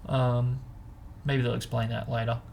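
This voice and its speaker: A man's voice: medium pitch, flat delivery, measured pace, gravelly, a little mellow, slight background noise. A man chatting casually as host of a book discussion, talking in an informal, meandering way as he explains and speculates.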